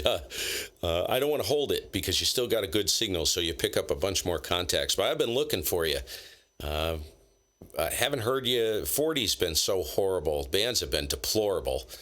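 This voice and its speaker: A man's voice: low voice, animated, moderate pace, a bit bright. A man chatting casually over ham radio, enthusiastic and full of call signs and gear talk. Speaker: ham radio operator, channel host. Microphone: station microphone.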